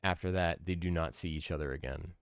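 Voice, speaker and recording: A man in his thirties giving casual, analytical video game commentary, thinking out loud. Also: deep voice, steady tone, fast, smooth, no echo, clean studio sound. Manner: musing, analytical, thinking aloud. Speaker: podcast host and game streamer. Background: video game music.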